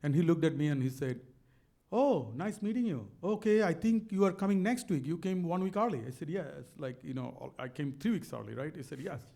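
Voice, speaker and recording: A man's voice: on the high side, expressive, a little fast, vocal fry, warm tone. A middle-aged man with a Bangladeshi accent, telling a motivational story in an earnest, emphatic way, often repeating words. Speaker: speaker on a stage talk.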